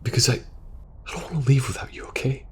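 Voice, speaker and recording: A man playing a war-weary, protective soldier in an intimate ASMR audio roleplay, speaking earnestly and hesitantly, sometimes hushed. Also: mid-range voice, fast, slight background noise, lightly acted. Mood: worried, pleading. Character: war-weary young male soldier pleading with best friend, protective, earnest.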